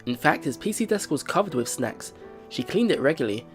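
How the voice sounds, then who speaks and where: A man hosting a let's play, reading visual novel narration aloud in an expressive storytelling way. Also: a little high, a little fast, soft background music.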